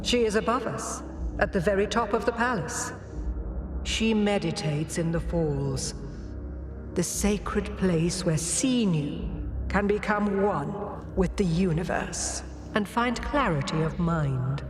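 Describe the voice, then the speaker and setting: Old alien ruler, stern, solemn, zealous, unhurried, grave authority, long echo, game cutscene. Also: medium pitch, lively intonation. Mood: brooding, solemn. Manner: measured, grave, commanding. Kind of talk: scripted dramatic game cutscene dialogue. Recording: long hall reverb over loud music.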